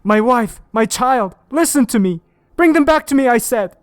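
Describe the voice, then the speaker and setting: A man voicing a desperate, grieving older detective who shouts frantically. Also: extremely high pitch, expressive, normal speed, no room sound, clean studio sound, voice pushed up. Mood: frantic.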